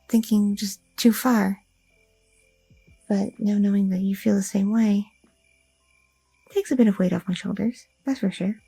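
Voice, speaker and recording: A tender, rambling young goth girlfriend, as a woman acts her out speaks in a dark, mellow voice with a gravelly, husky edge. She talks softly and intimately, right up close to a dry, close microphone, while faint music plays behind her. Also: mid-range voice, no room sound, full character voice, low-quality recording. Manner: soft, intimate, rambling with many fillers. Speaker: ASMR roleplay performer. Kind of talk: ASMR audio roleplay.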